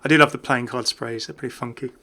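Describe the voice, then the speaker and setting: A man's voice: mid-range voice, steady tone, fast, sharp tone, a little hiss or hum. A man giving casual, off-the-cuff video game commentary in a chatty, enthusiastic way. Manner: chatty, enthusiastic about small details.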